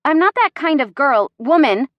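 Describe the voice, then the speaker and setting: A woman's voice: sits high, expressive, moderate pace, no echo, dull audio, voice pushed up. A woman voicing a sassy, easily flustered young heroine with bantering, defiant delivery in a romance audiobook. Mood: indignant.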